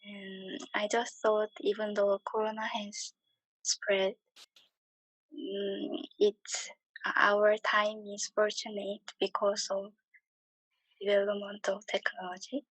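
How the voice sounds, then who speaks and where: A woman making a brief, halting comment in an online panel discussion over a video call. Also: mid-range voice, completely flat delivery, a bit bright, dry recording, poor audio. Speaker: participant.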